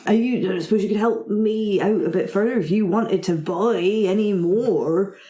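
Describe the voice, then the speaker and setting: A woman's voice: mid-range voice, normal speed, warm tone, reverberant, lightly acted. A woman voicing a male goblin alchemist who hobbles around his shop, chatty and fussy, with a hesitant, rambling sales patter. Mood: apologetic, hesitant.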